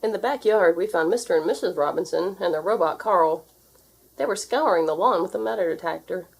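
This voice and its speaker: A woman reads a children's storybook aloud in an expressive, theatrical narrating style. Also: on the low side, a little fast, vocal fry, breathy edge, rough recording. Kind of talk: animated read-aloud narration.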